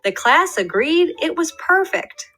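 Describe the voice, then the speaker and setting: A woman's voice: very high-pitched, sing-song, a little slow, pushed and bright, faint music behind. A young adult woman, a first grade teacher, reading a picture book aloud to her class and talking to her students.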